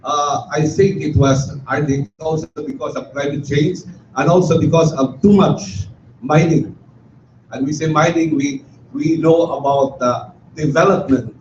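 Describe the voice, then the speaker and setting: A man speaks slowly from the audience at a forum, stopping and restarting as he searches for words. The recording is roomy and a little muffled, with a faint hiss underneath. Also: a little high, darkish tone. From Philippines. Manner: hesitant, many restarts and fillers. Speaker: forum audience member asking a question. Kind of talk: halting spoken question at a public forum. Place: meeting hall. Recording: roomy, dull hall recording with faint noise.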